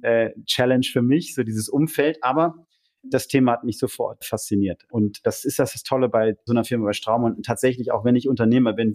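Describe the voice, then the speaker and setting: A man's voice: medium pitch, darkish tone. A man talking enthusiastically and conversationally about his own career as an interview guest. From Germany. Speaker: podcast interview guest, entrepreneur.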